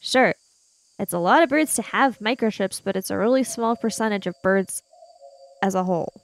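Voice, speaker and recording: A woman's voice: medium pitch, moderate pace, natural voice. A woman playing a bookish, argumentative schoolgirl in an improvised tabletop adventure, wordy and pedantic. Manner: pedantic, wordy, indignant when teased.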